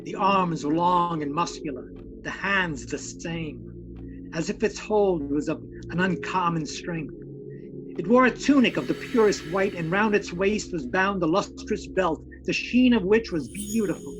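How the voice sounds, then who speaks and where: A man's voice: high-pitched, animated, a little slow, noisy background. A man narrating an audio drama in formal, literary, dramatic storytelling phrases.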